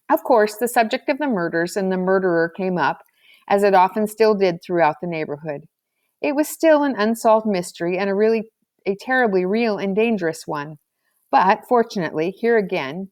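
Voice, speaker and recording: A woman reading a children's novel aloud as scripted narration, in an expressive storybook manner. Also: medium pitch. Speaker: audiobook reader.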